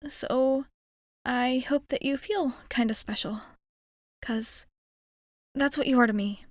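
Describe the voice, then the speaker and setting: A woman's voice: high voice, takes it slowly, no echo, clean studio sound. A woman playing a shy, kind young alien girl in an intimate ASMR roleplay, speaking in a hesitant, earnest, rambling way. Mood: shy, touched.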